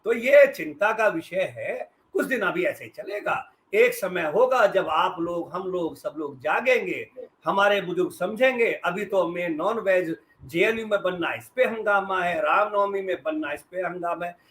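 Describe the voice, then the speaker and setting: A man's voice: high voice, expressive, unhurried, fairly bright tone. A middle-aged man gives sarcastic, emphatic political commentary as a journalist on a debate panel. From western Uttar Pradesh, India.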